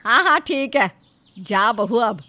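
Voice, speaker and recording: A woman voicing a greedy, sharp-tongued middle-aged mother-in-law in a story, loud and dismissive. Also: fairly high pitch, expressive, slow, no echo, full character voice. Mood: irritated.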